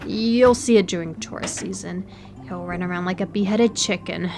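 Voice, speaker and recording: A woman in an audio roleplay playing an educated, politely condescending aquarium worker who speaks in a measured, precise way. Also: fairly low pitch, background noise, close to an everyday voice. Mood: condescending reassurance. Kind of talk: audio roleplay acting, one-sided conversation.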